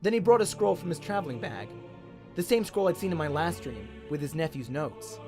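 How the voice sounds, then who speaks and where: Australian man narrating with lively pitch. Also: a little fast, soft background music. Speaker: audiobook narrator. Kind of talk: scripted dramatic story narration.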